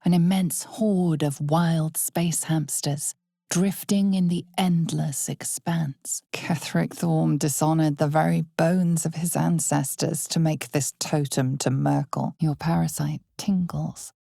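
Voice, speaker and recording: A woman playing an ominous, knowing narrator of a dark fantasy video game, with a breathy voice and deliberate, dramatic storytelling. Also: sits low, steady tone, no room sound, studio quality, heavily acted. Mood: ominous, measured. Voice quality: breathy, husky, often near a whisper.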